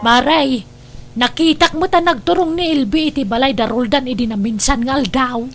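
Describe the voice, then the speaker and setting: A woman's voice: high-pitched, normal speed, soft background music. A woman acting in a radio drama as a gossipy friend confiding in a worried mother.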